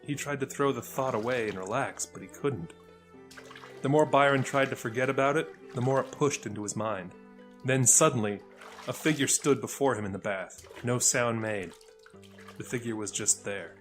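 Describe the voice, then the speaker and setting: A man reading scripted fantasy narration as a storyteller, with dramatic, deliberate delivery. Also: medium pitch, faint music behind.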